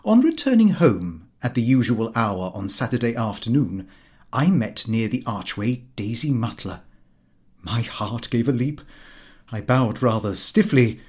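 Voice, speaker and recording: British male narrator, animated intonation, mid-pitched, fussy comic diary reading, indignant manner. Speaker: audiobook narrator.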